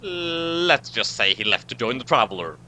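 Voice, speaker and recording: A man's voice: medium pitch, big pitch swings, moderate pace, pushed and bright. Man acting formal starship captain, faint hum. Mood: composed. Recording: noisy amateur recording with hum.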